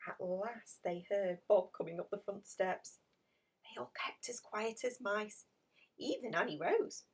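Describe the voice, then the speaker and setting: A woman reading a children's picture story aloud in an expressive, dramatic storytelling manner. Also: mid-range voice, a little slow, husky, far from the mic. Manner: animated, dramatic emphasis with hushed passages. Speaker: storybook reader.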